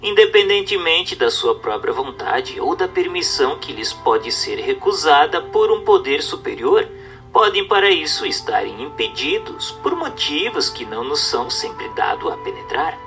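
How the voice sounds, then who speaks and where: A man's voice: a little high, big pitch swings, normal speed, pushed and bright, faint music behind. A man with a Brazilian accent reading a book aloud as scripted narration, in a formal, didactic manner. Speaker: audiobook narrator.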